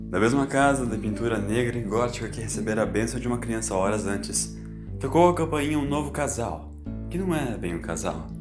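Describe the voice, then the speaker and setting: A man reading a scripted story as a dramatic narrator. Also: on the low side. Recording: echoey, with music behind.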